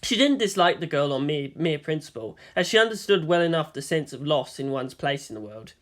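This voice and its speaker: A woman narrates scripted fiction. Her voice sits a little low, and her intonation moves widely and expressively from phrase to phrase. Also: animated, fairly quick. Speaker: fan fiction reader and narrator.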